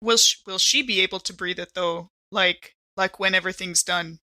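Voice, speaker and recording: A woman playing a tabletop role-playing game with casual, excitable, slangy banter. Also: fairly low pitch, moderate pace.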